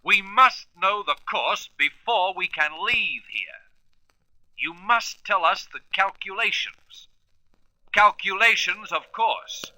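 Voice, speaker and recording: An actor playing a daring, commanding space adventurer in a radio serial, earnest and emphatic. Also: male voice, high voice, slow pace, bright, forceful tone, heavily acted, rough recording. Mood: stern, commanding.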